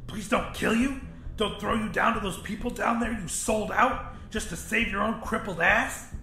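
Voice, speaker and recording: A man's voice: high voice, normal speed, creaky, pushed and bright, roomy sound, soft background music, voice pushed up, exaggerated voice. A man voicing a crazed, vengeful young rebel with a sneering, ranting delivery in a horror audiobook. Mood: sneering rage. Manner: taunting, ranting. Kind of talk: dramatic horror audiobook dialogue.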